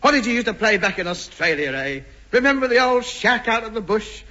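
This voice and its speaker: A man's voice: high-pitched, sing-song, fairly quick, bright, forceful tone, heavily acted. An actor playing a sarcastic, impatient, blustering man in a 1950s radio comedy. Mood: coaxing. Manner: sarcastic, exclamatory, mocking. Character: sardonic, impatient, blustering male householder. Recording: very noisy, dull old radio recording.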